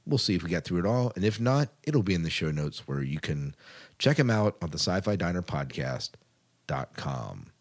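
A man's voice: low-pitched, very animated, normal speed. A man co-hosting a podcast in a casual, informal way, often rephrasing himself as he chats. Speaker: podcast co-host.

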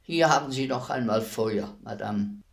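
An actor playing a servile state executioner in a radio play, terse, deferential and matter-of-fact. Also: male voice, mid-range voice, a little slow, vocal fry. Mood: humble, subdued. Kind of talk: German radio play acting.

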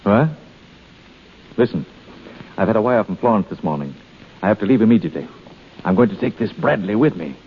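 An actor playing a brisk, urbane schemer in a hurry in a 1930s radio drama. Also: male voice, lowish voice, animated. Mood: urgent. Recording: tinny, noisy 1930s radio recording.

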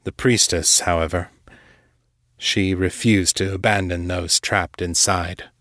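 A man voicing a powerful, condescending vampire lord in his fifties, formal and unhurried, in an audiobook drama. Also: low voice, fairly even intonation, fairly bright tone, no room sound, clean studio sound, pitched below the performer's own voice. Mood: grave, measured. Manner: formal, unhurried, smoothly chiding.

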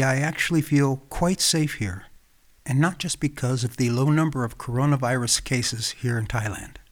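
An American man from Los Angeles, hosting his own podcast with calm, reflective scripted narration. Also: medium pitch. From Los Angeles, California. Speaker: podcast host.

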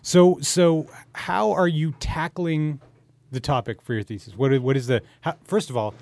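A man's voice: a little high, normal speed, gravelly, a little mellow, dry recording. A man co-hosts a podcast, chatting casually and asking questions in a jokey, rambling way. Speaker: podcast co-host. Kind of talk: casual interview chat.

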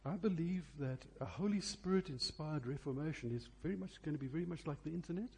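A man's voice: a little high, fast, vocal fry, darkish tone, breathy edge. A man preaching a sermon, phrasing emphatically and repeating key words for effect.

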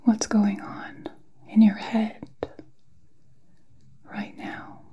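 A woman whispering a guided meditation in a soft, breathy, soothing way, with long pauses, as an ASMR host. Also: medium pitch, level tone, dull audio. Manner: slow, soothing, halting delivery with long pauses.

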